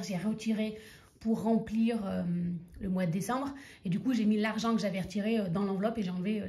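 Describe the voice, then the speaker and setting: A woman's voice: mid-range voice, a little mellow. A woman in her thirties with a cold and a stuffy nose, chatting casually to her viewers and counting out cash. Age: 30s. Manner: chatty, rambling, counts money aloud. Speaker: budgeting vlogger. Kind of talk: casual chat to camera.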